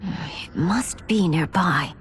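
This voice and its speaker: A woman's voice: lowish voice, takes it slowly, a little hiss or hum. An actress playing a determined warrior woman in a fantasy game, serious and urgent. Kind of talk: dramatic video game acting.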